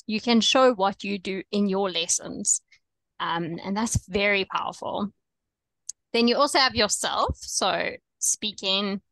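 A young woman with a South African accent, coaching online teachers over a video call in a relaxed, chatty way with frequent ums. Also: mid-range voice, dry recording, low-quality recording. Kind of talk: webinar presentation.

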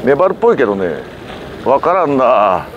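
Lively man chatting over boat noise. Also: medium pitch, a little fast, lo-fi sound. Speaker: fishing show host and angler. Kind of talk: casual on-location fishing commentary. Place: open boat at night, outdoors. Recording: noisy outdoor boat recording, wind. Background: boat and wind noise.

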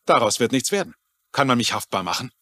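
An actor playing a bitter, worried real estate seller in a TV crime drama, sour and sarcastic. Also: male voice, mid-range voice, big pitch swings, quick pace, pushed and bright, no echo. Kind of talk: German-dubbed television crime drama.

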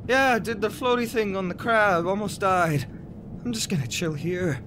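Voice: mid-range voice, moderate pace, background noise, lightly acted. An actor playing a flamboyant, snarky young male elf wizard in a comic fan dub, theatrical and drawling. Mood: blasé. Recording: loud music under the voice. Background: loud music.

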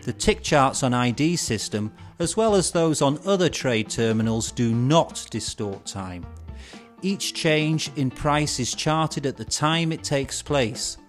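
A man's voice: medium pitch, animated, slow. Middle-aged male instructor over music. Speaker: presenter of an educational film. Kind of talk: scripted instructional narration. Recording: music bed behind studio voice.

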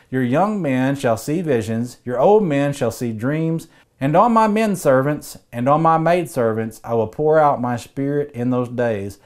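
A man in his forties preaching a scripted sermon, reading Bible verses in a measured, earnest way, recorded in an indoor study with bookshelves. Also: a little high, a little mellow.

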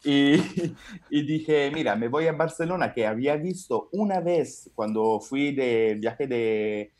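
A man's voice: medium pitch, lively intonation, slow, darkish tone. Italian man chatting over a video call. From Italy. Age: 30s. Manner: rambling, many fillers and restarts. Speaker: guest comedian. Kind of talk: casual interview chat. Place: room at home, joining by video call.

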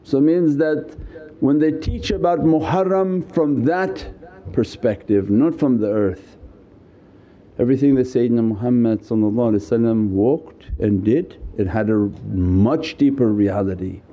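A man's voice: medium pitch, slow pace, lo-fi sound. An older man teaching a spiritual lecture, seated indoors and speaking into a small stand mic with emphatic gestures. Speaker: religious teacher. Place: indoors, seated on ornate couch before curtains. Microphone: small mic on stand in front.

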